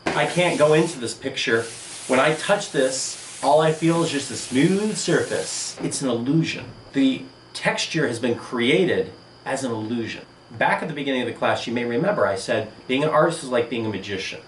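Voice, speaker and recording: A man teaching an art lesson on video, speaking emphatically in short phrases with pauses. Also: medium pitch. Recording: echoey room, distant mic, noisy.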